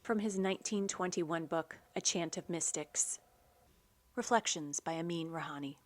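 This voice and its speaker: Woman radio host doing a poetry reading, kinda low voice, careful deliberate phrasing. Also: dry recording. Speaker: poetry program host and reader.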